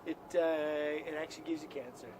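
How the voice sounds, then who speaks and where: A man's voice: fairly high pitch, fairly even intonation, brisk, a crowd in the background, poor audio. A man co-hosting a comedy show with profane, irreverent banter and mock news items. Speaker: co-host of a comedy video.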